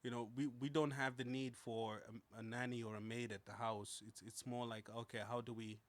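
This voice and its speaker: A man's voice: mid-range voice, level tone, brisk. A man in his thirties, a native Shona speaker, hosting a casual podcast, talking in a rambling way with many fillers. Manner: rambling, many fillers and restarts, laughs easily. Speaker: podcast host.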